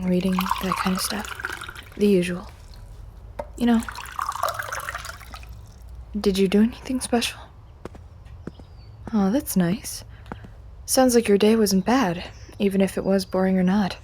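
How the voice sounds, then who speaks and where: A woman speaking gently and quietly in a scripted roleplay, as a storyteller reading aloud. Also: medium pitch, measured pace, long pauses, background noise.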